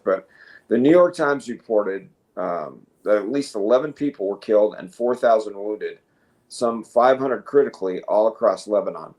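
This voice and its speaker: A man co-hosting a talk show, reading news reports haltingly with casual asides and self-corrections. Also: on the low side, a little mellow.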